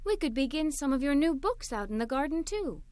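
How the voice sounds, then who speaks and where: A woman voicing a gentle, polite, easygoing mother in a children's storybook. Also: sits high, very animated, a little fast, dry recording, studio quality.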